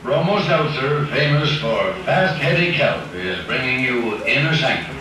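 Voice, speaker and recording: A man's voice: medium pitch, animated, a little slow, crowd noise. A man announcing in the style of a 1940s radio advertisement, with a folksy, neighborly sales manner. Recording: echoey, distant, hissy old radio recording.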